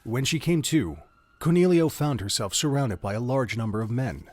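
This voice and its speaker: A man's voice: mid-range voice, animated, moderate pace, darkish tone, breathy edge, dry recording. A man reading dramatic scripted narration for an audio drama, in the manner of an audiobook.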